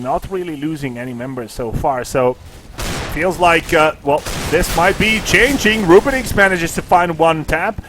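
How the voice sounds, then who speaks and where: A man casting a live esports match, excitable at big plays, with casual, jokey asides. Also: a little hiss or hum. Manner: excitable, rises in pitch and volume at big plays. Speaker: esports caster. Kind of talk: live play-by-play commentary.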